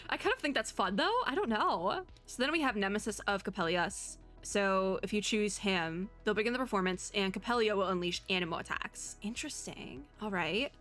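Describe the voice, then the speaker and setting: A woman's voice: mid-range voice, a bit bright. A young woman gives casual, slangy reaction commentary as a streamer, rambling and thinking aloud. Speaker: streamer reacting to game previews. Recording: music bed under the voice. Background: background music.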